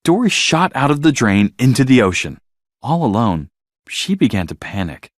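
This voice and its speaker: A man reading a scripted children's story as narrator, in an expressive, dramatic storytelling manner. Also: mid-range voice, moderate pace, dry recording, studio quality.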